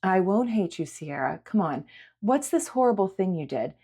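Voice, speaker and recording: A woman voicing a caring, patient woman who reassures with gentle insistence in a dramatic audiobook. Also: mid-range voice, very animated, moderate pace, slightly rough. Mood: gently insistent. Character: caring, patient woman comforting an upset girl. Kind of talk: dramatic audiobook character acting.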